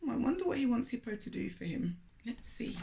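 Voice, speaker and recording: A woman's voice: fairly high pitch, big pitch swings, fairly quick, gravelly, mellow, slight background noise. A woman hosting a children's read-aloud, telling the story expressively with chatty asides and questions to listeners.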